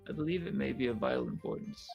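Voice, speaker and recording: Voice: mid-range voice, quick pace, gravelly, a little mellow, soft background music. A player voicing an earnest, polite young male defense lawyer who speaks hesitantly and formally, in a fan dub of a game.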